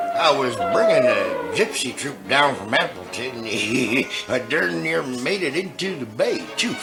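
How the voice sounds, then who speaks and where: A man's voice: mid-range voice, full character voice, low-quality recording. An actor playing a cranky, folksy old male boat captain in a radio comedy.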